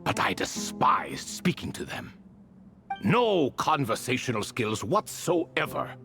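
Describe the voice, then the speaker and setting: An actor playing an ancient, wry and refined spirit who tells stories in a proud, wistful way in a video game. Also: male voice, medium pitch, sing-song, takes it slowly, creaky, a bit bright, soft background music, heavily acted. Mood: amused, disdainful. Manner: theatrical, refined, leisurely storytelling. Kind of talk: video game character dialogue.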